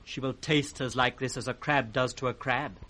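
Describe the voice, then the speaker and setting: An actor playing an impish, riddling British court jester in a 1960s radio Shakespeare drama. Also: male voice, mid-range voice, fairly even intonation, moderate pace, pushed and bright, natural voice. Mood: teasing. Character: impish, riddling court jester, male, teasing his master.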